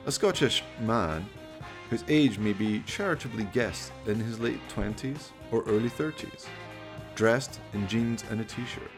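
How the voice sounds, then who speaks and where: A man's voice: lowish voice, expressive, unhurried. A man giving theatrical, wry scripted narration for a horror radio play. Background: music.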